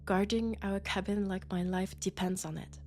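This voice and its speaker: A woman with a French accent, sick with a cold, playing a gentle, sociable traveling doctor from Mars in an intimate sci-fi audio roleplay, chatty and soft. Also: medium pitch, steady tone, normal speed, faint music behind, lightly acted. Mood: affectionate, playful. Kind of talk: intimate sci-fi roleplay acting, one-sided conversation.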